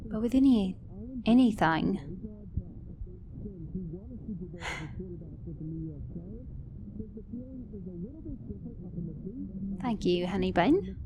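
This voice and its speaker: A woman with an Australian or British accent playing a sassy, caring mechanic girlfriend in an ASMR roleplay, speaking emphatically and affectionately to a partner. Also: medium pitch. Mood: soft, caring. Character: sassy, caring female mechanic girlfriend, scolding then tender. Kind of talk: ASMR roleplay audio drama.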